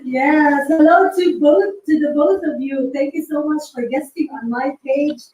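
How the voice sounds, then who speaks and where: Bright-voiced woman host in an echoey room. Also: high-pitched, measured pace. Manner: upbeat and welcoming, laughs often. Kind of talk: online interview. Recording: echoey room, distant mic.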